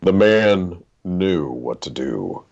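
A man with a gravelly, husky voice reading a literary short story aloud in a dramatic, deliberate way. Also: deep voice, sing-song, a little mellow, muffled recording.

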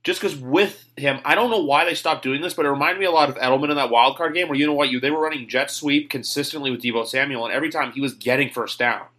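A man's voice: mid-range voice, fast, hardly any pauses, bright, forceful tone. A man hosting a sports podcast in casual chat, rambling and opinionated. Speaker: podcast host.

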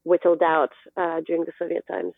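A woman's voice: on the low side, little pitch movement, brisk, no echo. A young woman from southern Ukraine, chatting as an interview guest with lots of restarts and fillers. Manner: hesitant, full of restarts and fillers. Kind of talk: casual interview chat. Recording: dry, phone-like line.

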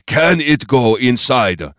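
A male narrator plays an old man in a thriller audiobook. He is menacing and commanding, with short, sharp phrases. The voice sits low, in a dry, close sound. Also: sing-song, a little slow, no room sound. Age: older.

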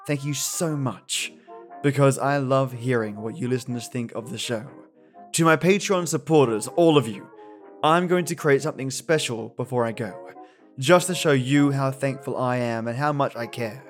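Podcast host guy, chatty and genteel, mid pitch, faint music behind. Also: moderate pace. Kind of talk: scripted, chatty podcast commentary.

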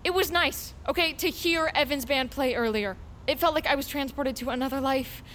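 A woman's voice: sits high, normal speed, fairly bright tone, slight background noise. An actress playing a withdrawn, yearning teenage girl in an audio drama, hesitant and wistful. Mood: wistful, defiant.

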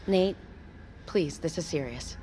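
A woman's voice: sits low, takes it slowly, slight background noise. An actress in an audio drama playing a serious, determined ex-Navy woman with a focused, urgent manner. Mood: insistent. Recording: loud music bed. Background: loud dramatic music.

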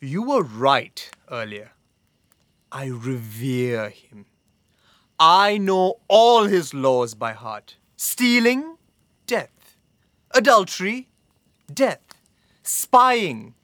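A man playing a stern, vengeful Mongol officer in an audio drama, speaking in a threatening, clipped and deliberate way. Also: a little high, sing-song, a bit bright, no echo, studio quality, full character voice. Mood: cold, menacing.

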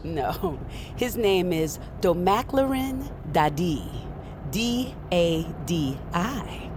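Wry magic mentor, low voice, clipped, casual, audio drama, clear vehicle noise around her. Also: very animated, drawn-out words, heavily acted. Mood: matter-of-fact. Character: brisk, wry woman guiding a magical novice. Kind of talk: naturalistic audio fiction acting.

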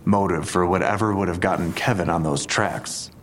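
An actor playing a skeptical police detective in an audio drama, talking in a terse, dry, deliberate way. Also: male voice, sits low, slight background noise. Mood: measured, businesslike.

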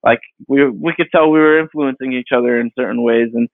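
Man, 30s, gravelly voice, casual and rambling with lots of restarts; thin, phone-like sound. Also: mid-range voice, steady tone, no echo. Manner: rambling, halting, with many fillers and restarts. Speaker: digital artist guest. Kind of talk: casual interview answers. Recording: dry tinny phone-like line.

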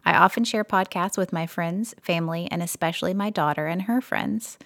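A woman co-hosting a personal finance podcast, reading a listener's letter aloud in a steady, measured way. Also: on the low side, vocal fry, no echo. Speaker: podcast co-host.

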